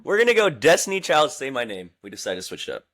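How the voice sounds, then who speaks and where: A man's voice: medium pitch, wildly swooping pitch, quick pace, fairly bright tone. A man in his twenties co-hosting a podcast, talking in a playful, hyped-up, boastful way. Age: 20s. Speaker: podcast co-host. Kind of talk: casual podcast chat.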